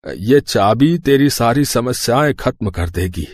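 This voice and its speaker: Ailing old father played by a man, solemn farewell, storybook drama, medium pitch, dry recording. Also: animated, unhurried, darkish tone, dry recording. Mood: tender, solemn. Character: ailing elderly father writing a farewell letter. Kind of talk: scripted story dialogue.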